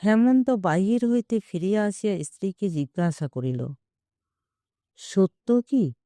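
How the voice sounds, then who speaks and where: A woman reading a literary short story aloud as a narrator, in a measured, expressive manner. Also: mid-range voice, no echo, clean studio sound. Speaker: audiobook narrator.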